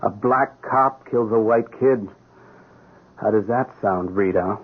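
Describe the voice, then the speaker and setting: An actor playing a proud, embattled police sergeant in a radio drama, earnest, insistent and defiant. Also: male voice, lowish voice, slow pace, background noise. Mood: troubled, defensive. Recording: muffled narrow-band radio drama recording.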